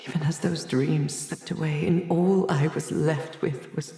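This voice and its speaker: Snooty haunted asylum doctor, video game style, slow n theatrical, kinda fretful, echoey room sound. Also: male voice, on the high side, fairly even intonation, creaky, mellow. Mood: haunted, brooding. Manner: theatrical, erudite, fretful, with sighs and hesitations. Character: haunted, haughty asylum doctor, obsessed with sculpting. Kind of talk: dramatic video game dialogue.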